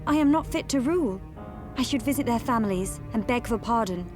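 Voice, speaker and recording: High earnest princess done by a woman, game cutscene, very proper, music under it. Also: expressive, a little fast, lightly acted. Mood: guilt-ridden. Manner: formal, earnest diction. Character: young winged crown princess, earnest, formal and self-doubting. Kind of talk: scripted game cutscene acting.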